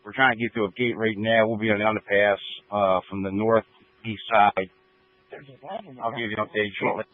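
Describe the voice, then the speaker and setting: A man's voice: on the low side, flat delivery, normal speed. A man speaking as a fire battalion officer, giving status updates over a two-way emergency radio. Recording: tinny narrowband emergency scanner radio.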